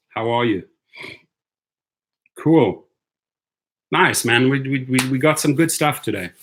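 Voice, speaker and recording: A man hosting a casual livestream, upbeat, greeting viewers and repeating his phrases. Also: mid-range voice. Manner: upbeat, repeats phrases, gives shout-outs. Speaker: livestream host.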